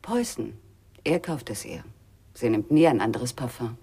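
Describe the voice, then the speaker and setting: An actress playing a guarded, cool, melancholy femme fatale in a noir radio drama, hushed and terse, with a breathy voice. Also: female voice, very deep voice, lively intonation, a little slow, exaggerated voice. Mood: wry, ominous.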